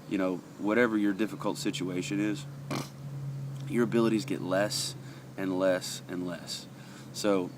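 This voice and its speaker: A man with a very low voice talks through a daily devotional in an earnest, conversational way, stopping often on fillers. He sounds a little sniffly from allergies. Faint traffic hums behind him. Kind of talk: casual devotional talk, reading passages aloud.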